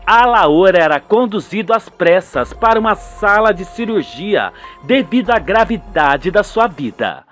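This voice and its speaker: High-pitched Brazilian man, dramatic narration. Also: fairly bright tone. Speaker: narrator of a radio soap.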